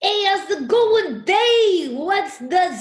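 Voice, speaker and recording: A woman playing a brash, pushy male online talent scout who flatters and hustles. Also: very high voice, roomy sound, full character voice. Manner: pushy, fast-talking, flattering. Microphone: video call.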